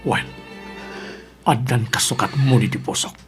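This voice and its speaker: A man's voice: mid-range voice, unhurried, over music, lightly acted. A man with an Ilocano accent playing a stubborn son and cold husband in an audio drama. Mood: firm, cold. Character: stubborn adult son, cold husband leaving his pregnant wife.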